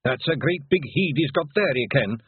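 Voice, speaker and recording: Man doing a high-pitched, husky little Scottish pixie. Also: expressive, normal speed, no room sound, phone-quality sound. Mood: chatty, curious. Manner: conversational, folksy questioning. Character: Rob Anybody, feisty little Scottish pixie man, chatty leader.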